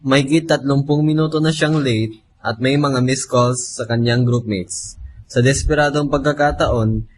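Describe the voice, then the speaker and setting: A man narrates a radio drama from a script. His voice sits at a medium pitch, with faint ambient noise behind it. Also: unhurried.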